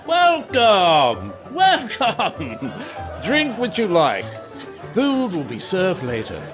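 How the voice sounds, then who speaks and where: An actor playing a genial, ceremonious middle-aged merchant hosting guests in an audio drama, with music underneath. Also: male voice, high-pitched, wildly swooping pitch, very slow, a crowd in the background, heavily acted. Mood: jovial, welcoming. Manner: hearty, ceremonious, chuckling. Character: jovial, genial wealthy merchant host, proud Monsieur Morel.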